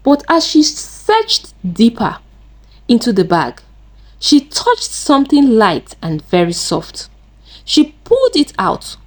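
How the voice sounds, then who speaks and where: A woman narrating a scripted folktale in a deliberate, dramatic way. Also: mid-range voice, darkish tone. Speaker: storyteller.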